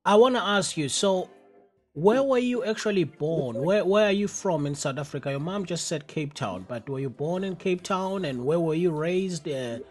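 A male radio host interviewing a guest, enthusiastic and halting, with many fillers and restarts. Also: fairly high pitch, animated, faint music behind.

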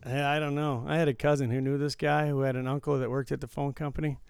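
A man's voice: medium pitch, little pitch movement, quick pace, gravelly, a little mellow, clear, resonant tone, dry recording. A man co-hosting a casual podcast from his bedroom, telling stories and riffing on his co-host's jokes. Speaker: podcast co-host. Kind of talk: casual joking chat.

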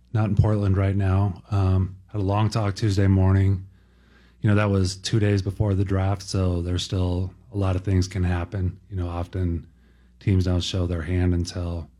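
A man speaking as a team executive at a press conference, answering in careful, halting, measured phrases. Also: low-pitched, dark tone.

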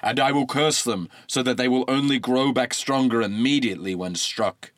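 A man doing a booming wrathful god. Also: normal speed, fairly bright tone, no room sound, studio quality, lightly acted. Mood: menacing. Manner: booming, imperious. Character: wrathful vengeful male god, commanding. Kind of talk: storybook reading.

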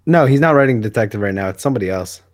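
A man's voice: on the low side, animated, racing, no echo, studio quality. A man co-hosting a podcast, chatting casually about comics in a rambling, hesitant way and often correcting himself. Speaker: podcast co-host. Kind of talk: casual comics discussion chat.

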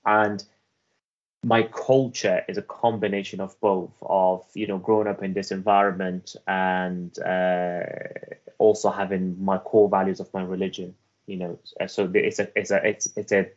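Man, Welsh accent, very low pitch; earnest interview guest, halting delivery, muffled lo-fi audio. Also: flat delivery. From Afghanistan. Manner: earnest, halting, with many restarts and fillers. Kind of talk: reflective personal storytelling.

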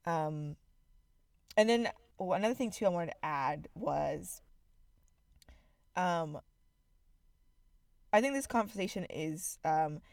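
A young woman from Zimbabwe, co-hosting a podcast in candid, animated casual chat with frequent fillers. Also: lowish voice, slow, long pauses, no echo, clean studio sound. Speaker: podcast co-host.

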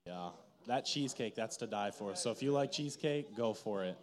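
Casual male interviewer, slightly low voice. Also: animated, brisk. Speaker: interviewer, host.

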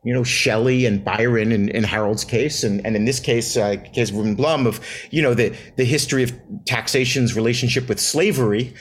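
Man, novelist guest, mid-pitch, reflective, halting restarts. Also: slight background noise. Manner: thoughtful, many restarts and fillers. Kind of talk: reflective interview answers.

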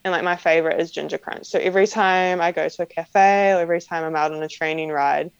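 A woman's voice: lowish voice, fairly even intonation, fast, clear, resonant tone, dry recording. A young woman with a strong New Zealand accent answering interview questions casually, full of "like" fillers and light laughs. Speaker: professional cyclist guest. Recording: slightly dull lo-fi sound.

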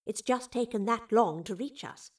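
A woman's voice: on the high side, normal speed, husky, far from the mic. A woman voicing an eccentric woman professor who explains things lyrically and affectionately in an audio storybook. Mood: wondering.

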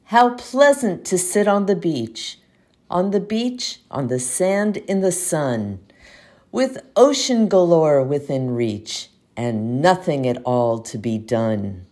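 A woman reciting a poem aloud as an amateur reader, with measured, expressive phrasing. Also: low voice. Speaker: community poetry reader. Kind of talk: poetry recitation.

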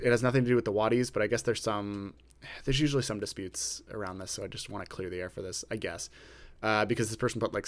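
A man co-hosting a podcast about a writing contest, talking slowly and deliberately, pausing rather than using fillers. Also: mid-range voice. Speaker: podcast co-host. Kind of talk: casual podcast chat. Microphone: podcast microphone.

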